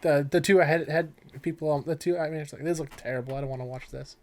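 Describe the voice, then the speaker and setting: A man's voice: a little high, fairly even intonation, quick pace, darkish tone. A man co-hosting a casual chat about films and games, opinionated and swearing freely. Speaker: podcast co-host.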